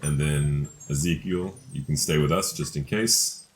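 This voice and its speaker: Very deep-voiced man, gaming. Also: measured pace, faint music behind. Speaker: player in a tabletop game. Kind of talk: casual tabletop game talk.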